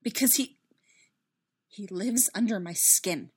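A woman voicing an anguished, self-doubting young male Jedi in a story, impassioned and halting. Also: medium pitch, breathy edge. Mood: wretched longing. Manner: impassioned, halting, insistent repetition.

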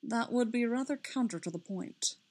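A woman voicing a restrained, self-reproaching man in a story reading, with a quiet, measured, dryly formal manner. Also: on the high side, pitched above the performer's own voice, natural voice.

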